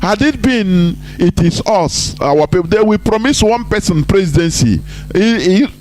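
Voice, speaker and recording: A man's voice: lively intonation, unhurried, darkish tone, faint music behind. Emphatic male live-stream host. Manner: emphatic, rises high on points, drops low for asides. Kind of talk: live online broadcast monologue.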